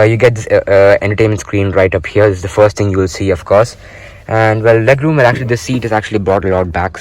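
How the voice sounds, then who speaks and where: A man's voice: fairly low pitch, background noise. A man giving a casual walkaround of a car interior, pointing out features with frequent "uh" fillers, recorded on a handheld phone inside a car in a showroom. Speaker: car reviewer.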